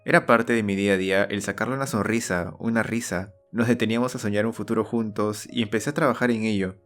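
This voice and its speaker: A man's voice: fairly low pitch, fairly even intonation, fast. A young man giving an earnest, confessional scripted narration of his own life as a storyteller. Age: young adult. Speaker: storyteller and channel host. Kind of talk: scripted autobiographical narration with acted dialogue. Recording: voice over background music.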